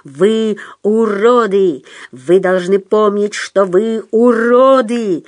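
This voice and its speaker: A brutal ward tyrant acted out by a woman reading an audiobook speaks with hoarse menace. He drags out his orders slowly, hissing and barking at those beneath him. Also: mid-range voice, lively intonation, close to an everyday voice. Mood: enraged. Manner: menacing, hissing and shouting commands. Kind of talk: audiobook character voice.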